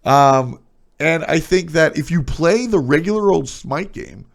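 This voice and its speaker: Stuffed-up nasal man, gravelly. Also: a little high, animated, unhurried. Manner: blunt, opinionated, breaks off into trailing asides. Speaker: streamer discussing esports. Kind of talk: casual opinionated chat.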